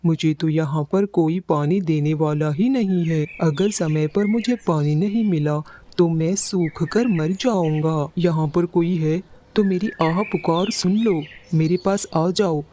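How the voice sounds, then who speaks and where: Guy doing a big sorrowful cartoon tree, talks really slow and pleading, soft dark tone. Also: on the high side, slight background noise, lightly acted. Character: huge thirsty sorrowful talking male mango tree. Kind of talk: Hindi children's cartoon story acting.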